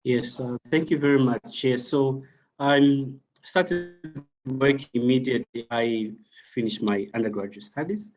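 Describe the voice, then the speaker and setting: A man from Zambia, a doctoral candidate, speaking as a panel guest on a video call in a halting way. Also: mid-range voice, low-quality recording. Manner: halting, frequent "uh" and "um".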